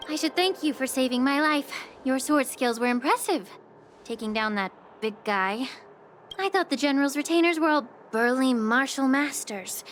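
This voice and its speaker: A woman voicing a calm, gracious young woman alchemist in a game, speaking gently and reflectively. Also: high-pitched, moderate pace. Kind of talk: game voice acting. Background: game music.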